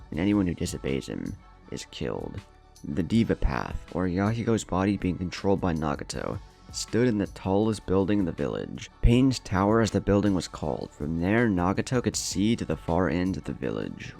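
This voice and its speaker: A man's voice: deep voice, vocal fry, darkish tone. A man reading a scripted story in a dramatic narrating style. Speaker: fan fiction narrator. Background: background music.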